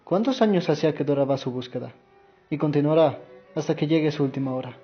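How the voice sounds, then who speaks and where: A man's voice: a little high, fast talker, natural voice. A man acting in a scripted radio drama. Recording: muffled lo-fi audio under music.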